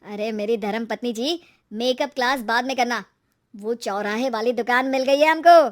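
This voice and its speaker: An earnest young cartoon husband, played by a woman, has a fairly high voice. He is a small shopkeeper and family man who gets exasperated easily. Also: normal speed, lightly acted. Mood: cheerful.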